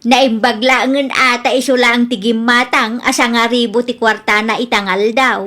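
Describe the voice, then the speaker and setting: A woman's voice: fairly high pitch, fairly even intonation, moderate pace, sharp tone. A woman playing a suspicious, insistent middle-aged village aunt in a comedy radio drama, with a nagging, scolding manner. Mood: worried.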